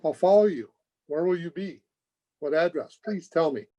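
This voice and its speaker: An actor playing an ardent, smooth-talking young suitor in an old-time radio play, urgent and pleading. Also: male voice, on the high side, lively intonation, moderate pace, dark tone, close to an everyday voice. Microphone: video call. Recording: dull home video-call audio.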